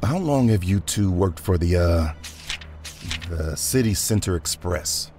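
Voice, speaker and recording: A man's voice: sits low, animated, background noise. A man playing a courteous police detective in an audio drama, speaking politely and formally. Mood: courteous, probing. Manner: polite, measured, official. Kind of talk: naturalistic audio drama acting.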